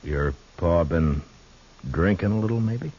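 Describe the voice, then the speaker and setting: Deep-voiced, steady frontier marshal. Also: male voice. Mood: probing. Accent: American. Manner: authoritative, dry, measured. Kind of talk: 1950s radio Western acting. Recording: muffled, hissy 1950s radio recording.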